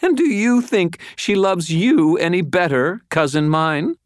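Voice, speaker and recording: A man acts out a haughty, sultry aristocratic woman for an audiobook. The voice is lifted high and swoops with sneering, venomous disdain. The recording is dry. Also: expressive, measured pace, smooth, no room sound. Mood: scornful.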